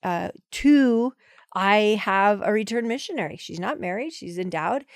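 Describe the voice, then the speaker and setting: A woman in her forties speaks as a podcast guest in a chatty, emphatic way, often restarting her thoughts. Her voice sits at a middle pitch. The recording is dry and close. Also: lively intonation, a little slow, no echo. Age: 40s. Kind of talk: casual conversational interview.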